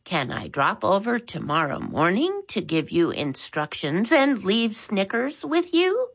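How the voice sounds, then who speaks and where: A woman voicing a loud, squeaky, hard-of-hearing elderly woman who talks slowly and fussily in a storybook reading. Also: big pitch swings. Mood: fussy, doting. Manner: slow, loud, fussy, doting. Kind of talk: storybook read-aloud.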